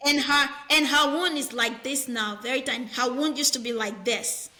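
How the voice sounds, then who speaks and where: A high, bright woman's voice, firm and animated as she tells stories about her patients and slips into their voices. She is a middle-aged nurse practitioner from Nigeria, speaking as a guest in a relaxed interview. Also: moderate pace. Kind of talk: conversational interview.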